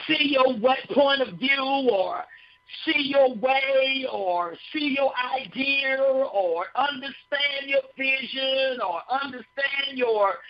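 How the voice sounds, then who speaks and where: A woman giving a conversational sermon on a broadcast, folksy and full of repeated phrases, often checking in with listeners. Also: high-pitched. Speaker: radio speaker on behavior. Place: radio studio. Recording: dull lo-fi broadcast sound.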